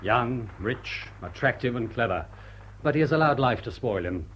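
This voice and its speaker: Man playing a dryly witty English butler, animated. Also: medium pitch, normal speed, slight background noise, lightly acted, low-quality recording. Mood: knowing, wry. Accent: upper-class English. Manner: formal, dry, deadpan wit. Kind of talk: British radio drama acting.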